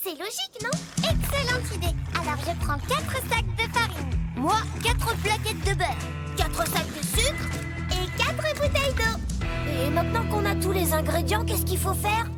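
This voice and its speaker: A performer voicing an eager, curious little child truck in a children's cartoon, excitable and inquisitive. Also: extremely high pitch, moderate pace. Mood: puzzled. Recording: music behind the voice.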